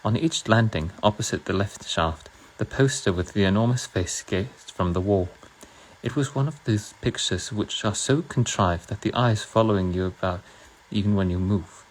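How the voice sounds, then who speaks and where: A man putting on a British accent as a novel's narrator, reading in a measured, literary, slightly halting way. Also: lowish voice, close to an everyday voice, rough recording. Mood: measured storytelling. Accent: put-on British. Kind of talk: audiobook-style novel reading.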